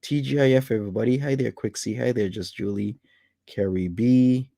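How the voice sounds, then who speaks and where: A man's voice: mid-range voice, moderate pace, creaky, warm tone, dry recording, studio quality. A man in his late thirties hosting a casual livestream, reading out viewer names one by one to greet them. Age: 30s. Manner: greets viewers by name in a list. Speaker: livestream host.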